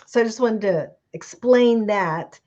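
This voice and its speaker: A woman's voice: a little high, a little slow, mellow, lo-fi sound. A woman host chatting casually, encouraging her guest and laughing along. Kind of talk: casual interview chat.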